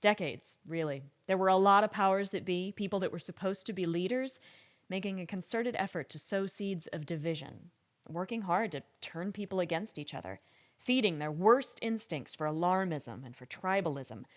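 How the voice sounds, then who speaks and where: A woman playing a wry, candid government scientist giving conversational interview testimony with deliberate pauses in an audio drama. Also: lowish voice, expressive, lightly acted. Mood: earnest, frank.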